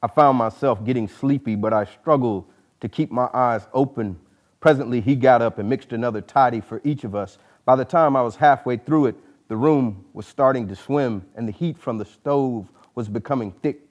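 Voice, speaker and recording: A man giving a formal literary reading, speaking in deliberate, oratorical phrases with emphasis on each one. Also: medium pitch, muffled recording. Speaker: dramatic reader. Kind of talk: formal literary introduction and narration.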